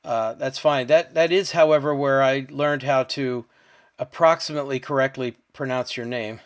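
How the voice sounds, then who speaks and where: Male podcast host, mid-pitched, conversational, often ends with "right?". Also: steady tone, normal speed. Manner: conversational, checking facts with "right?". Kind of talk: podcast interview.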